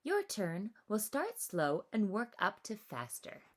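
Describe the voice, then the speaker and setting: A woman voicing an encouraging, gently teasing mother in a children's storybook, coaxing and emphatic. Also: medium pitch, wildly swooping pitch, slow, voice pushed up, heavily acted.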